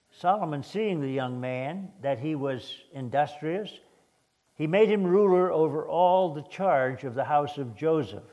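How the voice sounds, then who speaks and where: An older man with a hoarse voice, preaching a sermon in a halting way with many restarts and fillers. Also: a little high, a little mellow. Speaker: guest preacher.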